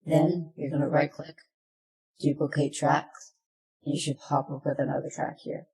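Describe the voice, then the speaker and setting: A presenter narrating a software tutorial in plain, step-by-step instructions, recorded in an echoey room. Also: medium pitch, flat delivery, moderate pace, vocal fry.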